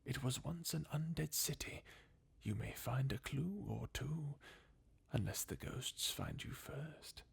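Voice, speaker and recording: A performer voicing a jaded, sardonic undead warrior from a fantasy video game, in a breathy, husky half-whisper with a weary, mocking manner. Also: medium pitch, slow pace, full character voice. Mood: bleakly mocking. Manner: sardonic, weary, chuckling bleakly. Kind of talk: fan redub of video game dialogue, ASMR-like.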